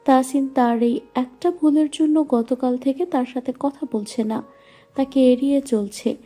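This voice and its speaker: Female storyteller for romantic audio fiction: very mellow tone, higher register, light music bed. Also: fairly even intonation, a little slow. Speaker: narrator. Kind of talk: scripted romantic story narration. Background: faint music.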